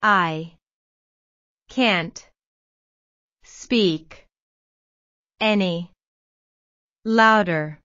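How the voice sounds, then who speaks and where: A woman modelling English phrases for learners, repeating each one slowly and then word by word. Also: medium pitch, bright, forceful tone, no room sound. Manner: very slow, carefully enunciated. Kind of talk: slow drill reading, word by word. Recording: dull, slightly lo-fi sound.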